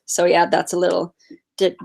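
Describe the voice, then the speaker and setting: A nineteen-year-old woman who stutters, chatting slowly and casually on a livestream as she answers viewer questions. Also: fairly low pitch, completely flat delivery, gravelly, a little mellow. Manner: stutters with repeated sounds and blocks. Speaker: livestream host.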